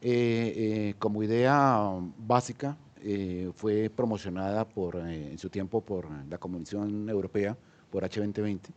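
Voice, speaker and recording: A man's voice: on the low side, fairly even intonation, a little slow, darkish tone, highs cut off. A man with a Colombian accent, an entrepreneur speaking on a panel, hesitant with many fillers and restarts. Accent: Colombian Spanish. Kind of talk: panel discussion.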